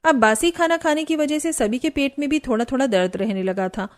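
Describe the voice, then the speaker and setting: A woman's voice: high-pitched, brisk. A woman reading scripted story narration as the narrator. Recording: faint music bed behind narration. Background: background music.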